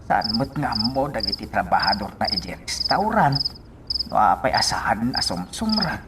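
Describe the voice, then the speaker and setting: A man's voice: lowish voice, very animated, moderate pace, very noisy. A man playing a weary older father who broods anxiously over his daughter in a radio drama.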